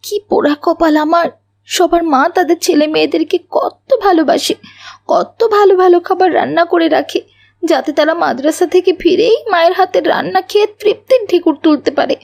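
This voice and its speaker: A woman's voice: extremely high pitch, normal speed, vocal fry, a little mellow. A woman voicing a meek, neglected young schoolgirl in a cartoon, with a plaintive, whiny delivery. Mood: self-pitying.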